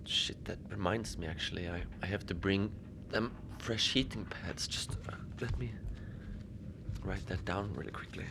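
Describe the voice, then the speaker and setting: Man acting gravelly, rambling station manager. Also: low-pitched, moderate pace, noisy background. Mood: muttering, apologetic. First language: Norwegian. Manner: hesitant, rambling, chatty, apologetic. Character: lonely, gentle, rambling Antarctic research station facility manager. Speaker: podcast narrator. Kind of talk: cozy fiction podcast monologue, radio transmission.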